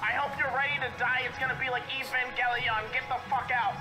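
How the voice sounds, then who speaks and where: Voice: medium pitch, steady tone, fairly quick, music in the background, lightly acted. A performer voicing a grandiose, crude villain scientist in an improvised comedic game dub, ranting and theatrical. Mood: menacing, crude.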